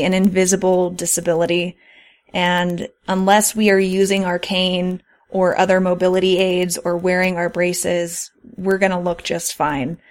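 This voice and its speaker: A woman co-hosting a podcast, talking casually and explaining things in an earnest way. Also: on the low side. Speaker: podcast co-host. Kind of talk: casual conversational podcast talk.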